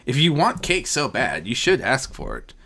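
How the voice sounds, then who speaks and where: A reader voicing a cool, controlled female goddess of shadow who speaks flatly and chides. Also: medium pitch, moderate pace, creaky, husky, exaggerated voice. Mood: chiding. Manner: flat, deadpan, chiding.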